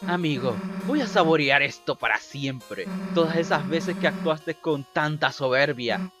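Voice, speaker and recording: A man voicing a brash, teasing teenage monster girl with gruff kindness in a video game fandub, blunt and slangy. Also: fairly high pitch, measured pace, music in the background, natural voice. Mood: gloating, mocking.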